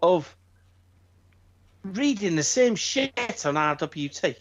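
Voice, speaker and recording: A man's voice: high-pitched, big pitch swings, slow. A man co-hosting a wrestling podcast in casual chat, swearing freely and restarting his sentences when worked up. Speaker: podcast co-host. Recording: dull lo-fi podcast audio.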